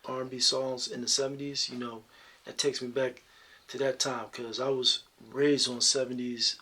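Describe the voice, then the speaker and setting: A man with a deep talking voice, answering interview questions about his singing in a relaxed, reflective way. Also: moderate pace, husky. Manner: relaxed, reflective, many "you know" fillers. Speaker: singer guest.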